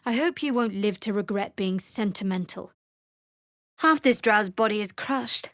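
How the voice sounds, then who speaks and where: An actress playing a guarded, wry young cleric of darkness in a video game, speaking in a measured way. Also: female voice, medium pitch, a bit bright, dry recording, clean studio sound, natural voice.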